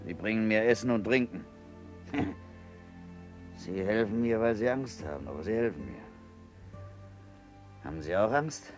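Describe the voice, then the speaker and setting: An actor playing a proud, bitter leper dying alone, with a sardonic, halting delivery in a radio play. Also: male voice, on the low side, lively intonation. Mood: wary, halting. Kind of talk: radio drama acting. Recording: radio play with music underneath.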